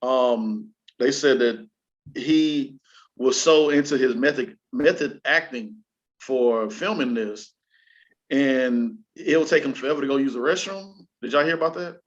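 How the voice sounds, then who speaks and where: Low-pitched-leaning casual male talk show host. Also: measured pace, highs cut off. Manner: colloquial, slangy, joking. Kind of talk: casual entertainment news chat.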